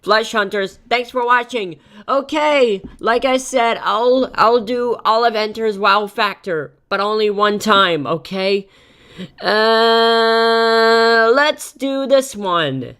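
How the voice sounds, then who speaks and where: A woman chatting casually as she reacts on a live stream, halting and repeating her words. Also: on the high side, a bit bright, clear, resonant tone.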